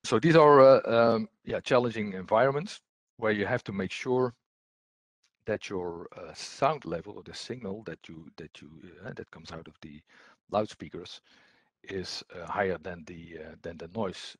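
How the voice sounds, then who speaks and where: A man giving an explanatory technical presentation as a webinar trainer, speaking in a hesitant, halting way. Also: sits low, breathy edge, no echo.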